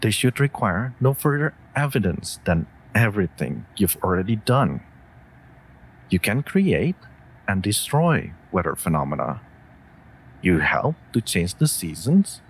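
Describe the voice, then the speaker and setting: A man voicing a calm, loyal wolf familiar with dry humour in a fantasy audio drama, talking in a measured, wry, reassuring way. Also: fairly low pitch, slightly rough, exaggerated voice. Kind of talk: scripted roleplay audio drama addressed to listener.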